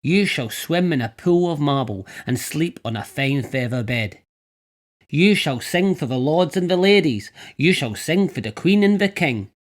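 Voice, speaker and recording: A woman voicing a sly, boastful male circus owner in a storybook, with a deep, pompous showman's delivery. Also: expressive, unhurried. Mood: wheedling, boastful. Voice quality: deep put-on voice.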